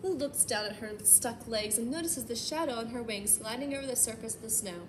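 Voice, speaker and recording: A woman with a fairly high voice reads a story to young children as a storytime host. Her delivery is expressive and emphatic, slipping easily into chatty asides. The recording has clear background noise around her voice. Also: fairly quick. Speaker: library storytime host reading a picture book aloud.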